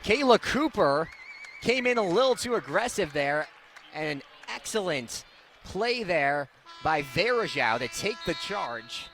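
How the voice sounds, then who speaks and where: A young man calling live basketball play-by-play as a radio announcer, with an excitable delivery that swells on big plays. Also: on the high side, a little slow, slight background noise. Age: young adult. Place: courtside at indoor basketball game.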